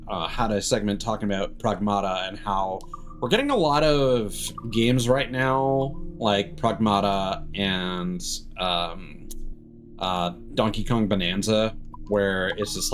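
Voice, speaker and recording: A man's voice: fairly low pitch, a little hiss or hum. A man doing casual live stream commentary on a game, rambling and swearing casually.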